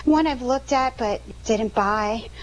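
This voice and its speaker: A woman's voice: on the high side, slow pace, rough recording. A woman playing an anxious, pleading young accomplice in trouble in a 1940s-style radio drama, with an earnest manner. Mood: confessing.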